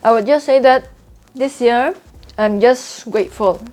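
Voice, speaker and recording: A woman's voice: on the high side, measured pace, a little mellow. A young woman with a Singaporean accent talking candidly to camera in a vlog, earnest and confiding, repeating points for emphasis. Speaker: trading YouTuber.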